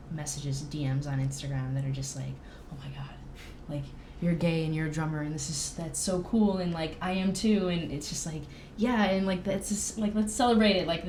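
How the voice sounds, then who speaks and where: A woman with a low voice talks casually and openly, with an informal, self-deprecating manner. The room rings noticeably around her, with a faint layer of noise underneath. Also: darkish tone. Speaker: band drummer, podcast guest. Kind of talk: casual personal chat. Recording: roomy echo with faint noise.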